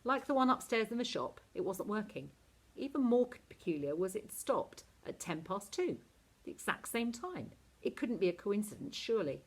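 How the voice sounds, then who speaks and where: A woman reads a story aloud like a teacher to a class. Her narration is expressive and animated, with drama in the telling and a middling pitch. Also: moderate pace, husky. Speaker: teacher reading a novel aloud.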